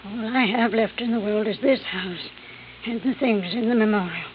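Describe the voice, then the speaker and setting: A woman's voice: on the high side, a little hiss or hum, natural voice. Frail genteel old lady, played by an actress, tinny radio. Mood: weary, confessional. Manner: halting, gracious, weary. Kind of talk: old-time radio drama acting.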